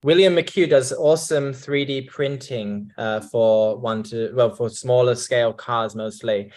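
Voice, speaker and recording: Brief: English man, guest on a video call, hesitant and restarting, mid-pitched everyday voice. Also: measured pace, darkish tone. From England. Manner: hesitant, many restarts and ums. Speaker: company representative guest. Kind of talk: casual video-call chat.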